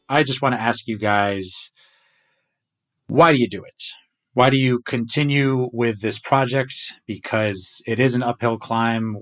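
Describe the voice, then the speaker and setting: A man's voice: medium pitch, fairly bright tone. A man hosting a casual podcast conversation, playful and upbeat with a joking manner. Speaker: podcast host.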